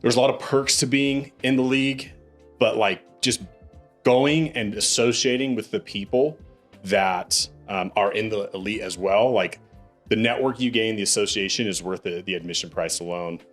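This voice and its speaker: A man's voice: on the low side, moderate pace, faint music behind. A young man hosting a podcast in casual interview chat, upbeat and calling his guest "dude" and "bro". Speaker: podcast host.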